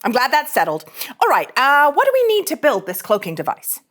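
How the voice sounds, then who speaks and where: Woman playing a brash high-voiced starship officer. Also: huge pitch swings, brisk, a bit bright, exaggerated voice. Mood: goading. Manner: sardonic, slangy. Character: brash, sarcastic, fearless female starship officer. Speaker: reader at a table read.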